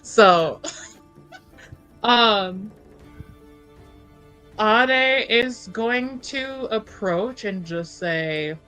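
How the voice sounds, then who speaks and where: A woman's voice: mid-range voice, very slow, long pauses, bright, forceful tone, clean tone, soft background music. A woman playing a tabletop roleplaying game, chatting and narrating her character's actions in a playful, slangy, casual way.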